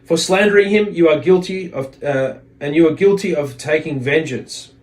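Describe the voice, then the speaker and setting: Reflective man teaching, higher-pitched voice. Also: moderate pace. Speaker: religious teacher. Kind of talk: informal religious lesson, reading aloud and commenting.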